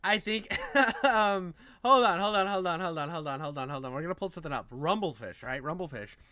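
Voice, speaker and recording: A man with a fairly high, forceful and bright voice reacts casually to what he is watching. He talks in animated slang and often repeats his phrases. The sound is close and dry. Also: brisk, no echo. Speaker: reactor commenting on a video. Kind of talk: casual, excitable reaction commentary.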